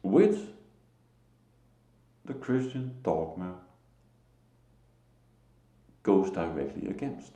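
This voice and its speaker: A man from Denmark with a Danish accent, giving a solo religious talk to camera in a halting, emphatic way. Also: deep voice, expressive, dark tone, echoey room, dull audio. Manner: slow, halting, emphatic, with short laughs. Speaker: lay preacher.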